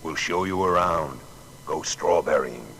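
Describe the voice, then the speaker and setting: A man's voice: a bass voice, measured pace, a bit bright, exaggerated voice. An actor playing a folksy, boastful American male inventor in a dramatised historical reminiscence, speaking plainly and colloquially. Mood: playful, proud. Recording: long hall reverb, background noise.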